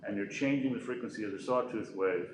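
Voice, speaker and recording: A man in a measured technical discussion, restating an explanation step by step. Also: on the low side. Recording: echoey room with faint noise.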